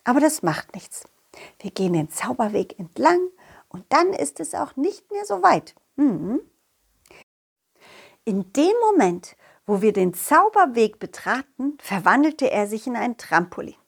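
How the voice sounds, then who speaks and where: A woman's voice: fairly high pitch, measured pace, gravelly, slightly rough, full character voice. A woman voicing a cheerful, confident, loyal talking dog who tells his adventures playfully and expressively in a children's audio play. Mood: cheerful, narrating. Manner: playful, expressive, addressing a companion.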